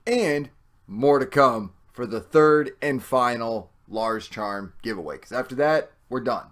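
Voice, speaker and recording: A hoarse man hosting his own video channel, talking in a rambling, excitable way full of swearing. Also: mid-range voice. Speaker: host. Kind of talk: casual video rant.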